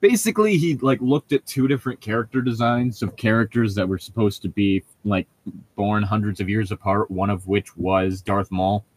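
A man's voice: lowish voice, moderate pace. A man co-hosting a podcast in casual chat, opinionated and often laughing as he talks. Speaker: podcast co-host.

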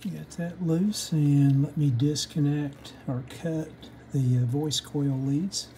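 Male how-to host, soft dark tone, methodical and hesitant, unscripted bench demo, some background noise. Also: on the high side, fairly even intonation, takes it slowly. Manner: hesitant, methodical step-by-step explaining. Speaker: host of a radio repair how-to channel. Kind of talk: unscripted hands-on workshop demonstration. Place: indoor home workshop bench.

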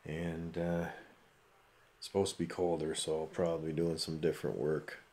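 A man's voice: rumbling low, completely flat delivery, normal speed, a little mellow. A middle-aged man vlogging casually from his car and home, chatty and a self-described complainer. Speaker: fitness vlogger. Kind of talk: casual vlog talk. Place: parked car, then outside a truck, then home office.

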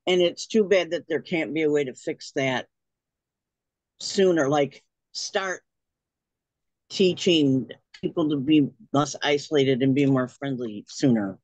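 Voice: medium pitch, measured pace, a little mellow, no room sound, poor audio. A participant musing aloud on a group video call. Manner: musing, chatty. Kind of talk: casual group discussion.

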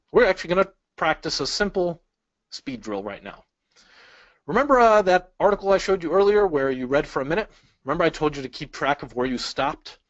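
A man teaches a webinar from a small room over a webcam, friendly and full of questions for his audience. The sound is dry and a little dull, with no room echo and a muted top end. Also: on the high side. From Chicago, US. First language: Polish. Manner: friendly, asks the audience questions, light jokes. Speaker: speed-reading instructor. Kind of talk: webinar lesson with slides. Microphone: webcam inset.

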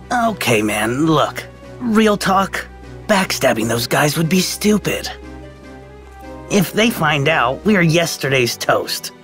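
An actor playing a lazy, cowardly young man in a video game, with a whiny drawl that jumps into sudden excitement. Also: a little high, extremely slow, long pauses, soft background music, full character voice.

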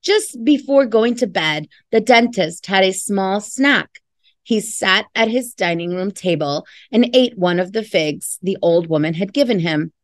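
A woman's voice: mid-range voice, expressive, measured pace, no echo, studio quality. A middle-aged woman, a teacher reading a picture book aloud with chatty, friendly asides to young listeners. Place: indoors in a classroom.

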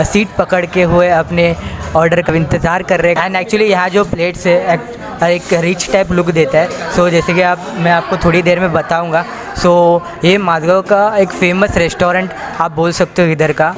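Chatty young man with a high voice. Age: young adult. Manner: chatty, mixes English words into Hindi, laughs easily. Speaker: vlogger. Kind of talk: casual vlog narration. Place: city garden outdoors at dusk, then a busy restaurant. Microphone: phone held at arm's length.

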